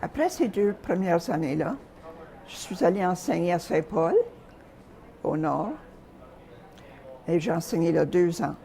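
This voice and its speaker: Soft-spoken older woman, mellow voice. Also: on the low side, lively intonation, creaky. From Calgary, Canada. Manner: soft-spoken, hesitant with frequent pauses. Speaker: interview guest. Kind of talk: reminiscing in an interview.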